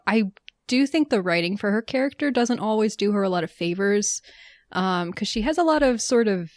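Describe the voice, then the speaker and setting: A woman's voice: medium pitch, no room sound. A woman co-hosting a film podcast, chatting casually about movies, with lots of fillers and laughs. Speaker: podcast co-host.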